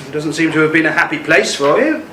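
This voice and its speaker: An actor playing an earnest, polite British ex-forces husband in a radio play. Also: male voice, on the high side, animated, quick pace, sharp tone. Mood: friendly, probing. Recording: roomy amateur recording with faint noise.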